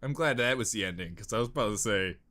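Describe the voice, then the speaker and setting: A man's voice: fairly low pitch, animated, fast, bright, forceful tone. A man giving casual, jokey reaction commentary with sarcastic remarks and mild swearing. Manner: jokey, sarcastic, mildly profane. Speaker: reaction video host.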